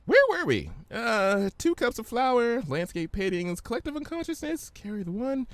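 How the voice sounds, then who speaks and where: A hyperactive young cartoon mare acted out by a man, pitched extremely high. The delivery is playful and excitable, swooping widely from one exclamation to the next. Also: moderate pace, no room sound, exaggerated voice. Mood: cheerful, scatterbrained.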